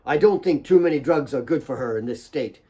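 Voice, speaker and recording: A man voicing a troubled doctor, grave and halting, upset but trying to stay composed. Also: medium pitch, animated, mellow, breathy edge.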